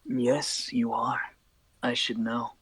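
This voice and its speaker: A man's voice: medium pitch, flat delivery, takes it slowly, fairly bright tone, breathy edge, no echo, pitched below the performer's own voice, rough recording. A man voicing a cocky, teasing, flirtatious cartoon hedgehog in an amateur story reading.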